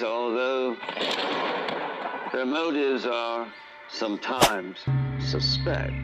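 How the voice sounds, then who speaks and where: A man acting as a grave, conspiratorial storyteller in a dark fantasy radio drama, speaking dramatically with deliberate pauses. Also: medium pitch, lo-fi sound. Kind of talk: theatrical radio drama narration. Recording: music under a remastered radio production. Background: music.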